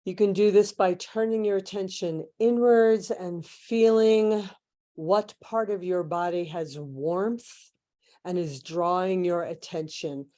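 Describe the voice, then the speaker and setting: A woman leads a guided meditation in a lowish, very mellow voice. She speaks very slowly, in short measured phrases separated by long pauses. The delivery is hushed and spiritual, inviting listeners inward.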